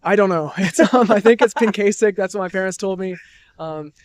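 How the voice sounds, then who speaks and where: Fast-talking young man, smooth and bright. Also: high voice, dry recording. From New Hampshire, US. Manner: thoughtful, rambling with many restarts and fillers. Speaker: musician guest. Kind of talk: casual interview. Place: outdoor music festival.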